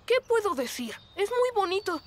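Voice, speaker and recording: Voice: very high-pitched, sing-song, moderate pace, heavy background noise. An actor voicing an earnest young moose boy in a children's cartoon, puzzled and questioning. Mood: puzzled, searching. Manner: questioning, bemused.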